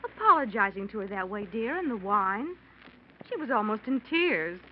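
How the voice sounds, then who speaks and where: An actress playing an affectionate, chatty, well-bred wife in an old radio mystery. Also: female voice, medium pitch, sing-song, normal speed, natural voice. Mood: fond, chatty. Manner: fond, chatty. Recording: muffled, hissy old radio recording.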